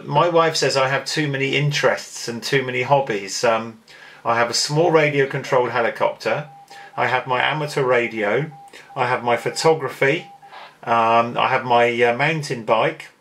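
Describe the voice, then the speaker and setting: Man, English accent, middle-aged, very gravelly creaky voice, easygoing amateur radio chat. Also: mid-range voice, a bit bright. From England. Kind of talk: casual radio contact chat.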